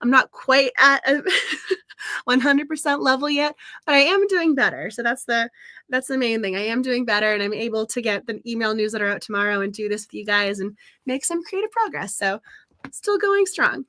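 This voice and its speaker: Chatty, encouraging woman streamer, higher-pitched, a bit nasal and hoarse from a cold. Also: moderate pace, pushed and bright, no room sound, clean studio sound. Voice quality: a little nasal. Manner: chatty and encouraging, laughs often. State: getting over a cold, slightly hoarse. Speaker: livestream host of a writing session. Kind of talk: casual livestream chat.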